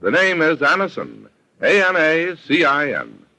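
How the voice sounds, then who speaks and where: A man's voice: fairly high pitch, wildly swooping pitch, unhurried, gravelly, over loud music. An American man announcing and reading adverts in a 1940s radio style, on a vintage broadcast recording.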